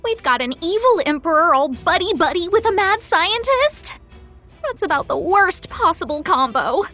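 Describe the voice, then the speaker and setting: A woman voicing a small, youthful, caring goddess in an animated video game, perky and earnest. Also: extremely high pitch, moderate pace, faint music behind, exaggerated voice. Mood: puzzled, worried.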